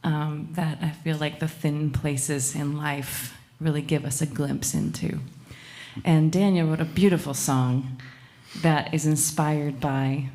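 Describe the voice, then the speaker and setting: A woman's voice: low voice, fairly even intonation, slow pace, warm tone. A woman from Alaska, a musician introducing a song on a concert stage, speaking reflectively with many hesitations. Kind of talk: casual onstage talk.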